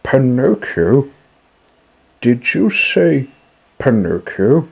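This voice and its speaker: Man doing a wooden puppet boy, higher than his narration, gravelly, husky, pleading, storybook. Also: very animated, extremely slow, exaggerated voice. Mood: eager, anxious. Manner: pleading, tearful. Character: grieving young wooden puppet boy, desperate. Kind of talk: storybook reading.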